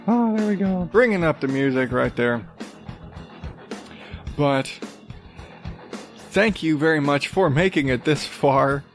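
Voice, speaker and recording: A man hosting his own podcast, rambling casually with restarts and the odd swear, in a rather deep voice while coming down with a cold. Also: expressive, takes it slowly, long pauses, faint music behind. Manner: rambling, loose, with swearing and self-interrupting restarts. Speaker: podcast host. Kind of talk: casual solo podcast talk.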